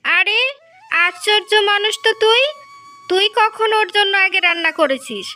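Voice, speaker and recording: A woman plays a jealous fisherman's wife in a cartoon fairy tale. The voice is extremely high and piercingly bright, insistent and argumentative, with music underneath. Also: lively intonation, moderate pace, exaggerated voice. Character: jealous, bickering village wives of a fisherman.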